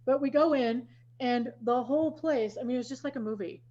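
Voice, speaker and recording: A woman's voice: high-pitched, fairly quick, warm tone. Chatty woman host on lo-fi call. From Wisconsin, USA. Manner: chatty, storytelling, self-deprecating. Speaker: podcast host. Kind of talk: casual chat. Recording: dull lo-fi audio.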